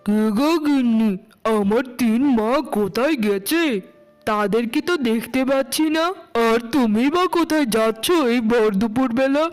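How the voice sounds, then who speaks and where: A middle-aged village father , voiced by a woman with a fairly high voice. He is a doting cartoon dad who talks in an affectionate, fatherly way. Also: moderate pace, darkish tone, soft background music, close to an everyday voice, rough recording. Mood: casual. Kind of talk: cartoon story acting.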